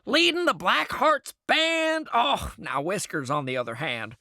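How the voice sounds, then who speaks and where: Bright, animated folksy Western sheriff. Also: medium pitch, a little slow, dry recording, studio quality. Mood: gossipy, earnest. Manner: effusive, gossipy, theatrical storytelling. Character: folksy, chatty, overly friendly small-town Western sheriff. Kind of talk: audio drama character acting.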